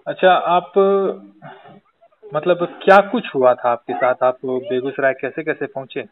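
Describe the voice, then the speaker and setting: A man's voice: medium pitch, measured pace. A man working as a journalist, interviewing someone on a recorded phone call.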